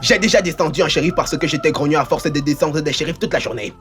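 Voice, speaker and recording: A man's voice: on the high side, fast, a bit bright, faint music behind, close to an everyday voice. A man voicing a boastful, bumbling, cowardly young cowboy outlaw in a cartoon dub, blustering theatrically. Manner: blustering, theatrical bragging that cracks into panic. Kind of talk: cartoon fan dub acting.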